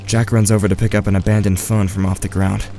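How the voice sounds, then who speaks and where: A man playing a watchful, tentative teenage boy, giving measured first-person narration in an audio drama. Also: fairly low pitch, level tone, mellow, soft background music, close to an everyday voice. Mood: measured, tense.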